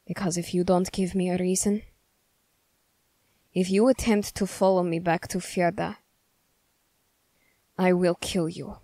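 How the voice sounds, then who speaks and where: A woman voicing a stern, wary young male soldier in measured, formal, halting phrases for an ASMR roleplay. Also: on the low side, level tone, mellow, no room sound. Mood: grave, threatening. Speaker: roleplay voice performer. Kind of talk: ASMR character roleplay addressed to the listener.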